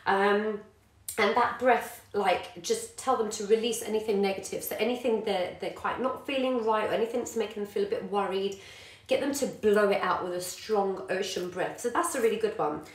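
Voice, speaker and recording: A woman's voice: mid-range voice, moderate pace. A woman, a yoga teacher and parent chatting casually to live viewers with many ums. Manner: warm encouraging, many ums, greets viewers by name. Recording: echoey room.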